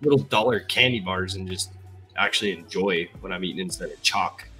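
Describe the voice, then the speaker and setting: A man's voice: low-pitched, fairly quick, pushed and bright, faint music behind, poor audio. A young man hosting a casual livestream from an indoor room, chatting and reading viewer comments aloud on a headset mic. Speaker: livestream host. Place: indoor room, gaming chair.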